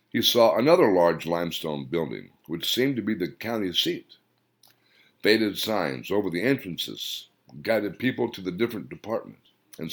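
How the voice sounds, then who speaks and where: A man reads his own novel aloud as an audiobook narrator. His voice sits low and the intonation swings widely. The reading is expressive and dramatic. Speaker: author and narrator.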